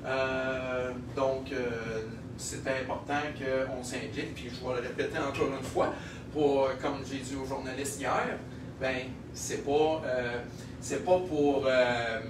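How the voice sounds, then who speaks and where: A man from Quebec with a Québécois accent, a microbrewery owner giving an animated speech at a local launch event, with many fillers. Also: mid-range voice, slow. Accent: Québécois French. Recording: echoey room, heard from a distance, noisy.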